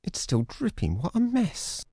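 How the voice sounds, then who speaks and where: A man's voice: sits high, wildly swooping pitch, measured pace, dark tone, heavily acted. A man playing a naive, bewildered young weaver apprentice in a game, earnest and plaintive, with music behind him. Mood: puzzled, disdainful. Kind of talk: theatrical game voice acting. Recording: game audio under prominent music.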